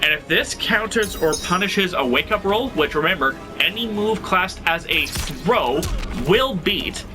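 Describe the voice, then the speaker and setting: A man's voice: high voice, lively intonation, a little slow, over music. A man with a slightly stuffy nose, hosting a tutorial and talking through fighting game moves in a casual, analytical way. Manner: analytical, conversational, thinking aloud. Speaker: video game guide host. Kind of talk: gameplay tutorial commentary.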